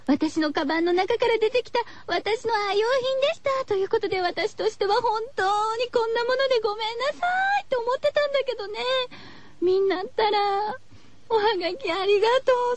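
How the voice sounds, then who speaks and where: A woman hosting a radio show, chatting casually to her listeners in a cutesy, girlish way. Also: extremely high pitch. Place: radio studio. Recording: slightly dull, lo-fi radio recording.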